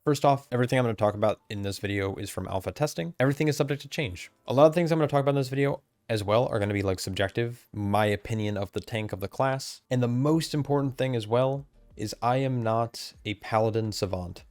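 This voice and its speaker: A man's voice: medium pitch, fast, hardly any pauses, a little mellow, no echo, faint music behind. A man losing his voice, talking casually about game changes late at night, rambling and correcting himself as he goes. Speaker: game content creator. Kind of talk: recorded commentary on game changes. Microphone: desk mic, camera off.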